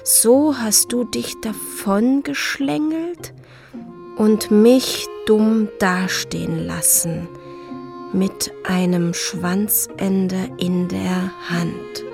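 An actress playing a wounded, resentful, defiant woman in a radio drama, intense and often hushed. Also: mid-range voice, steady tone, drawn-out words, vocal fry, music in the background. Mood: bitter, anguished.

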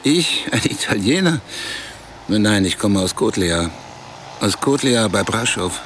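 An actor playing a laconic, teasing Romanian street porter in a radio drama, mixing in Italian words, over faint street noise. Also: male voice, on the low side, animated, slow pace, a bit bright. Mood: calm teasing. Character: Romanian man, street porter, calm, sly.

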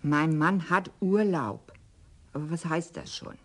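An actress in a radio crime play, playing the resigned, quiet wife of a policeman who suffers from pain. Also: low-pitched, measured pace. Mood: weary. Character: weary middle-aged wife of a sick policeman. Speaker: Frau Oppenheusen.

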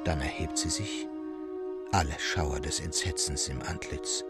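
A man's voice: rumbling low, measured pace, loud music behind the voice. A man narrating a gothic literary tale in a solemn, suspenseful and theatrical manner.

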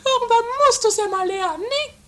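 Woman playing a very high-pitched bratty, bossy sister. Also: fairly quick. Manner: whiny, defiant, commanding. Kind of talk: amateur comedy radio play.

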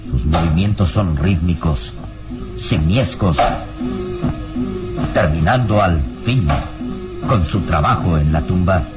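A man's voice: deep voice, takes it slowly, long pauses. A man playing a solemn radio serial narrator, declamatory and suspenseful, with scripted dramatic delivery. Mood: ominous, suspenseful. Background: dramatic music.

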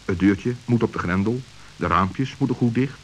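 A man narrating a scripted children's story in a measured, dramatic manner. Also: lowish voice, lo-fi sound. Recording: hissy old radio recording.

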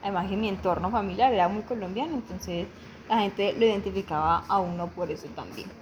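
Young woman, mid pitch, reflective and halting interviewee, light background hiss. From Venezuela. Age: young adult. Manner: reflective, halting, with frequent restarts. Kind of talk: personal testimony interview.

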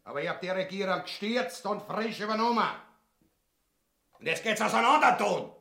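A man's voice: high voice, fairly quick, vocal fry, bright, forceful tone, heavily acted. An actor playing a greedy, brutal usurper king in a farcical radio play, pompous and blustering, with a Viennese accent. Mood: smug, bragging. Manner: pompous, blustering, barking orders. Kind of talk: farcical radio drama acting.

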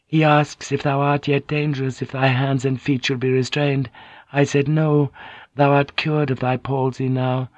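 A man voicing a composed, courteous Japanese noblewoman who translates for others, soft-spoken and polite. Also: mid-range voice, level tone, moderate pace, no room sound, voice pushed up, close to an everyday voice. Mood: gentle. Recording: dull, dry lo-fi recording.

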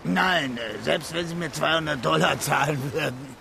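An actor playing a gruff, stubborn male ship captain in a radio play, answering in short, blunt replies. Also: fairly high pitch, moderate pace, noisy background.

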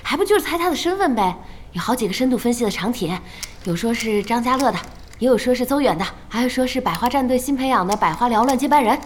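A woman's voice: on the high side, animated, quick pace, close to an everyday voice. A woman in an audio drama playing an outspoken, impatient young gamer who is chatty and nagging. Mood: gossipy, amused. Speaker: voice actor in a radio drama. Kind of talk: animated audio drama dialogue. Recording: faint music behind the voice.

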